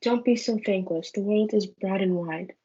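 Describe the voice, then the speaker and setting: A woman's voice: medium pitch, moderate pace, warm tone, husky, lightly acted. A woman playing a steadying friar who gives firm counsel in a student readers theatre. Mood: admonishing. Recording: muffled lo-fi home recording.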